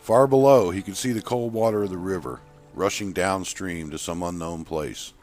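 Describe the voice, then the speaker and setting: A man's voice: deep voice, normal speed, darkish tone. A man narrating a scripted short story, with music playing behind him. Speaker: author and narrator.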